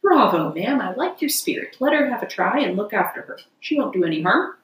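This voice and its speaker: A woman voicing a kindly, hearty gentleman motorist from a storybook in an emphatic, well-mannered way. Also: medium pitch, sing-song, fairly quick, echoey room, natural voice. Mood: hearty approval.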